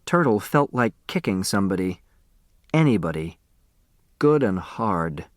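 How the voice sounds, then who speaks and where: A man's voice: fairly low pitch, very animated, a little slow, dry recording. Male audiobook narrator, dry close sound. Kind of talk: expressive scripted narration of a children's novel.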